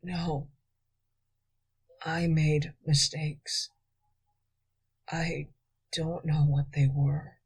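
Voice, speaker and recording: A woman's voice: sits low, flat delivery, darkish tone, breathy edge, heavily acted, poor audio. Woman whispering as a weary wounded young thief. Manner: whispering, faint, slow. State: exhausted.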